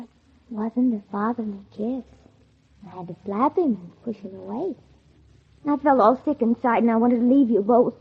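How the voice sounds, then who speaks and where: A woman's voice: a little high, husky. An actress playing a spiteful, scheming teenage girl in a 1940s radio drama, by turns falsely innocent and coldly bitter. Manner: feigned sweet innocence turning coldly bitter. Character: scheming, spiteful teenage girl narrating her own revenge. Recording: muffled, tinny old 1940s radio recording.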